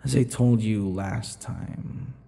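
A man voicing a possessive, centuries-old vampire in an intimate ASMR boyfriend roleplay, teasing and breathy, often dropping toward whispers. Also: on the low side, takes it slowly, warm tone. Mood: reminiscing. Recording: long reverberant echo.

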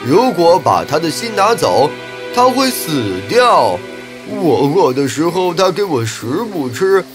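A man voicing an earnest, soft-hearted crocodile in a children's animated fairy tale, with a pleading, emphatic delivery. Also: on the high side, takes it slowly, over music, pitched above the performer's own voice. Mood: troubled. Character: earnest, soft-hearted male crocodile, troubled loyal friend.

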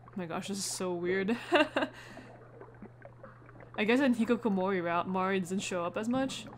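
A woman's voice: mid-range voice, moderate pace, slight background noise. A woman hosting a gaming video with casual, reactive commentary, muttering informal asides to herself.

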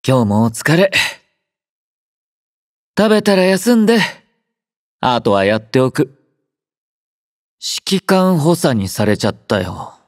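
An actor playing a gentle, quietly caring young male android soldier in a Japanese game, soft-spoken, drowsy and composed, with a mildly teasing manner. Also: medium pitch, creaky, dry recording, studio quality, heavily acted. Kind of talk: Japanese game character voice acting.

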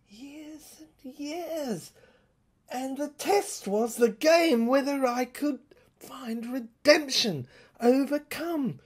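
High, husky woman in a halting dramatic monologue. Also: darkish tone. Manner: halting short phrases with pauses, sometimes dropping to a whisper. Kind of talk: dramatic first-person monologue, audio play narration.

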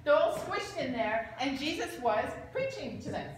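A middle-aged woman, a church storyteller narrating in an animated way for children. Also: high voice, normal speed. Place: large church sanctuary. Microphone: handheld microphone. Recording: echoey church, noisy room.

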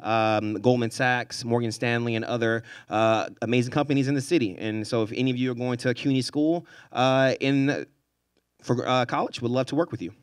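Energetic man on a panel stage. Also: mid-range voice, a little fast, smooth, no echo. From Houston, Texas. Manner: energetic, rallies the audience. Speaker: panelist, nonprofit director. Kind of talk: panel introduction to students. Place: stage at a large event space. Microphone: handheld microphone.

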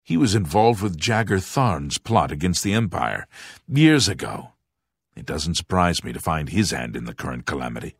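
Grave aging monk, low husky voice, expressive swings, formal, fantasy game. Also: male voice, no echo, studio quality, heavily acted. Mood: grave, helpful. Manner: formal, solemn, deliberate enunciation. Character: grave aging monk, former captain of imperial bodyguards. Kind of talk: fantasy video game voice acting.

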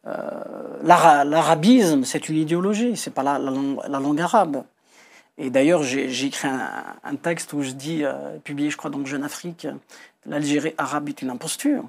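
A man's voice: on the high side, moderate pace, gravelly, breathy edge. A middle-aged man from Algeria, a Kabyle speaker, explaining his views as an author in a TV interview, hesitantly repeating words and stressing key points. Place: indoor television studio.

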